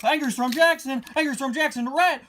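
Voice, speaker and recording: A man voicing a furious cartoon rival who loudly declaims. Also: very high voice, fairly quick.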